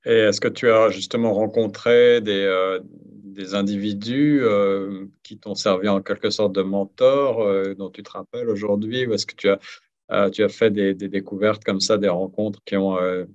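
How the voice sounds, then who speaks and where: Man interviewer, lowish voice, rambling questions, muffled audio. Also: steady tone, moderate pace, a little mellow. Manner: long winding questions with fillers. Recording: dull lo-fi sound.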